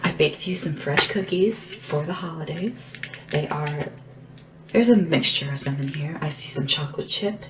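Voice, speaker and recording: A small, caring assistant to a dragon ruler, acted by a woman in an ASMR roleplay. The voice is low and soft, often slipping into a whisper, with an unhurried, deferential manner. Clear noise and tapping sit behind the lo-fi recording. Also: close to an everyday voice. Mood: playful, caring. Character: small, devoted, gentle assistant to a dragon monarch. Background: tapping and background hiss.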